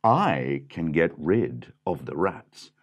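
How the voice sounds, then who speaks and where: Man as mysterious wandering piper, courteous and unhurried, storybook tone. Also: very deep voice, very animated, full character voice. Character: courtly mysterious male piper, calm and polite.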